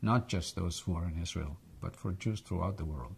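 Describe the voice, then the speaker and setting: An older man from Egypt with a foreign accent, reflecting haltingly in an oral history interview. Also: low voice, vocal fry, slight background noise. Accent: foreign English accent.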